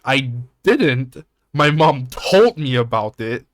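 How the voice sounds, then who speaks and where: A young man from Singapore hosting a podcast, chatting casually in a rambling, animated way and swearing often. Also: mid-range voice, takes it slowly, no echo. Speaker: podcast host. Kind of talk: casual chat.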